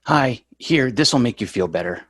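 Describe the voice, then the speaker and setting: A sheepish sitcom schoolboy, acted by a man, earnest with a wry edge. The voice is husky and lively in pitch, recorded close and dry. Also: normal speed, dry recording. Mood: apologetic, reluctant. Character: sheepish, apologetic sitcom schoolboy, smooth-talking son. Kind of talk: amateur table read of a TV sitcom.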